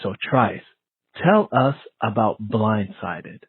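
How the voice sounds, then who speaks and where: A man's voice: lowish voice, lively intonation, slow, breathy edge, no echo. A man hosting an interview in a conversational style, often repeating and restating his phrases. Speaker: interview host. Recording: lo-fi phone-like radio sound, muffled.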